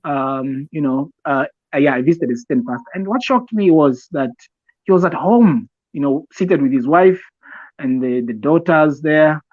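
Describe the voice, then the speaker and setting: A man from Zambia with a Zambian accent, giving conversational advice as a podcast co-host, explaining deliberately and haltingly with repeated phrases. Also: a little high, no echo, narrow, tinny audio. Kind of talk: conversational advice talk with personal examples.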